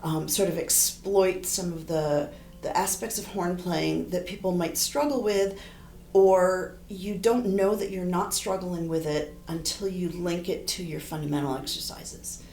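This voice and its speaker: A middle-aged woman with a low, gravelly voice talks through her ideas in a relaxed interview. She wanders and restarts as she thinks aloud. The room is echoey, with a faint hum behind her. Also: unhurried. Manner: thoughtful, rambling, frequent restarts. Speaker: horn player and composer.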